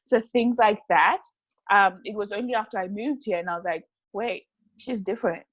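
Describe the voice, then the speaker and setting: A woman's voice: mid-range voice, normal speed, no room sound, dull audio. A woman, a podcast guest chatting casually and playfully. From Zimbabwe. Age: 20s. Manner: playful and chatty, laughs often. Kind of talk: casual chat.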